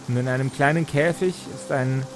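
A man narrating an improvised tabletop fantasy game as game master, in halting, deliberate phrases. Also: medium pitch, noisy background. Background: background music.

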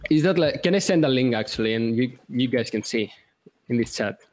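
A man from Greece speaks English with a Greek accent. He calls in to ask questions, hesitant and checking in with "okay?" now and then. His voice sits in an ordinary middle pitch. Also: animated, brisk, darkish tone. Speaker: caller. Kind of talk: casual questions on a call.

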